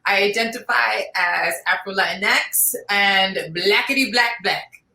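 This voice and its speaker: A woman's voice: mid-range voice, measured pace, gravelly, bright, forceful tone. A woman from New City, introducing herself proudly and emphatically. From New York City. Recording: echoey room.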